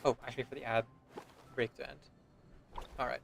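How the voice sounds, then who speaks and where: A man's voice: medium pitch, flat delivery, a little fast, creaky. A man chatting casually on a livestream, polite and welcoming to his viewers, over music. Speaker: live streamer.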